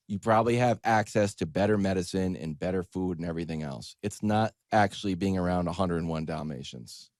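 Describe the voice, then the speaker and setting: A man with a lowish voice hosts a sports talk show as a comic storyteller. His pitch swings widely as he stresses his points and acts out little exchanges. The sound is dry and close. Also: no room sound. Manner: emphatic, animated, acts out quoted exchanges. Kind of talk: conversational comedic storytelling monologue.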